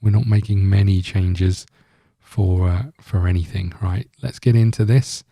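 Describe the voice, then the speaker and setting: A man with a very dark, relaxed voice on the low side talks through a video game. He rambles casually, thinking aloud as he hosts. The sound is dry and close. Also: unhurried, dry recording. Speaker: gaming video host. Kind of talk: casual let's-play commentary.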